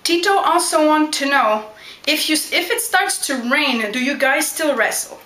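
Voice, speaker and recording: Young woman doing a q&a vlog, high bright voice, echoey room. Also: lively intonation, a little slow. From Montreal, Quebec, Canada. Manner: chatty, direct, keeps asking "you know what?". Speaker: backyard wrestler. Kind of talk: casual Q&A vlog.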